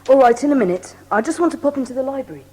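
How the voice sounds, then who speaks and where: Earnest high-voiced schoolboy. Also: female voice, animated, rapid-fire, dark tone. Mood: polite, eager. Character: polite, earnest, helpful schoolboy. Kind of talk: dramatised audiobook acting.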